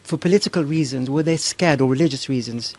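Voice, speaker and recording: Man, audience questioner, polite, agreeable, some "um" pauses. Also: mid-range voice, a little mellow. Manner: polite, agreeable, many "right" and "yes". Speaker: audience member asking questions. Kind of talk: polite Q&A exchange.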